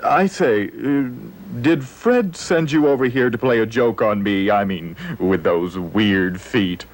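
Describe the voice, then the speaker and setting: A shoe salesman on a children's educational show, fussy and easily flustered. He speaks on the low side, stammering and hesitating, with a suspicious edge. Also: takes it slowly. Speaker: shop clerk character. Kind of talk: children's educational television sketch acting.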